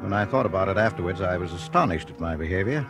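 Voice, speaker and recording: A man's voice: sits low, expressive, fast. An actor playing a meek, nervous man, hesitant and easily flustered, in a 1940s radio drama. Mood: confiding narration. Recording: 1940s radio broadcast with music.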